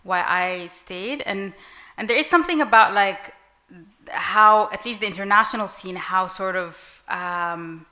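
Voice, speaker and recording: A woman whose native tongue is Arabic talks in a clear, bright voice at mid pitch. She sounds reflective and circles her thoughts, with frequent fillers and restarts. She is a panelist at a live literary event. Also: measured pace. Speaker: panelist author.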